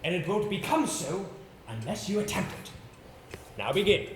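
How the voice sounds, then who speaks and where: A man acting on stage as a brisk, pompous theatrical actor who coaches a nervous client with emphatic commands. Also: sits high, sing-song. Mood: commanding, theatrical. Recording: roomy, noisy live stage recording.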